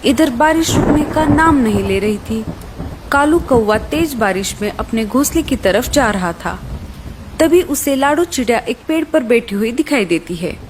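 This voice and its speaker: A woman's voice: fairly high pitch, expressive, normal speed, background noise. A woman narrating a scripted children's story.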